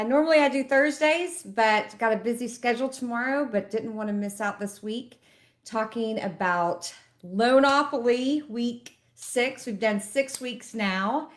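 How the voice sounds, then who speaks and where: Chatty woman, sniffly from allergies. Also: on the high side. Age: middle-aged. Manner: chatty and conversational, explains step by step. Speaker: loan officer. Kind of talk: casual educational talk to camera.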